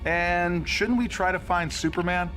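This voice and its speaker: A man playing an earnest, questioning superhero in an animated video game. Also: sits high, unhurried. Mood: puzzled. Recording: loud music behind.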